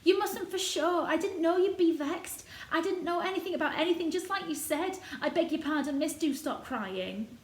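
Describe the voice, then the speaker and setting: A woman's voice: very high voice, lively intonation, moderate pace, few pauses, roomy sound, pitched above the performer's own voice. A woman voicing a good-natured, frank young Yorkshire housemaid with homely, blunt dialect speech in a storybook reading. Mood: soothing.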